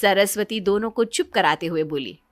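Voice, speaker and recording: A woman's voice: medium pitch, normal speed. A woman narrating a scripted moral story as a storyteller. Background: background music.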